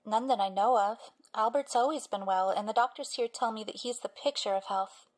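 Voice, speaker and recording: A woman's voice: on the high side, a little fast, lightly acted. An actress playing a protective young mother who gives short, guarded answers. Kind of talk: audio drama acting.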